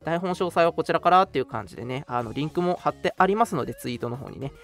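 A man's voice: a little high, lively intonation, very fast, gravelly, fairly bright tone, soft background music. A man hosting a radio show, giving announcements in a polite, chatty way. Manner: polite, chatty, frequent interjected particles. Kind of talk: casual scripted-segment radio announcements.

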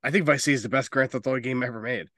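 A man's voice: medium pitch, racing, fairly bright tone, dry recording, clean studio sound. A man co-hosting a podcast, chatting casually with enthusiasm, jokes and swearing. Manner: enthusiastic, joking. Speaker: podcast co-host. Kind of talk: casual, profane pop-culture banter.

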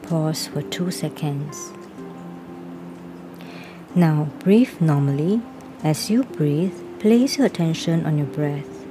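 A woman reading a guided body-scan relaxation exercise aloud. She gives calm, even instructions and reads out each pause cue. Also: low voice. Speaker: reader of a self-help workbook. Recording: voice laid over music. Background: clear background music.

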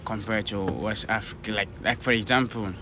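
A man's voice: lowish voice, steady tone, normal speed, background noise. A young man from Cameroon, a podcast guest talking earnestly in casual chat with many "like" fillers.

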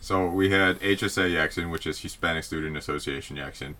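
Young male host, very low voice with a bright forceful edge, slangy casual talk. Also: a little fast. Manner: chatty, laid-back, slangy. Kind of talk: casual chat.

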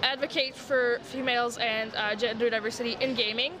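A woman's voice: on the high side, steady tone, brisk, poor audio. A woman from Montreal whose first language is French, speaking as a guest in a convention interview, earnest and full of fillers. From Montreal, Canada. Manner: many fillers, earnest and eager. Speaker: guest from a gaming organization. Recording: crowd noise behind.

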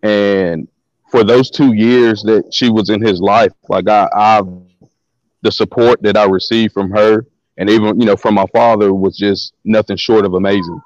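A man with a deep voice tells stories as a guest on a call-in chat. He talks in a loose, slangy way and often repeats his words. The sound is dull and lo-fi, with a dry, close feel. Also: fairly even intonation, normal speed, darkish tone, no room sound. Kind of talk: casual storytelling interview.

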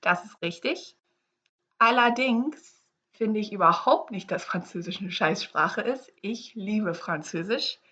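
A woman co-hosting a faith podcast in casual chat, relaxed and chatty as she explains a guessing game; her first language is English. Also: mid-range voice, slow, lo-fi sound. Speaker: podcast co-host.

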